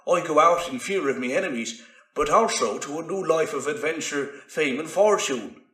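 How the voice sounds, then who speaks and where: A man's voice: a little high, lively intonation, normal speed, pushed and bright, echoey room, voice pushed up, low-quality recording. A man voicing a plucky young adventurer in a storybook, earnest and mock-heroic, with rustic turns of phrase. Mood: earnest bravado. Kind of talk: storybook character narration.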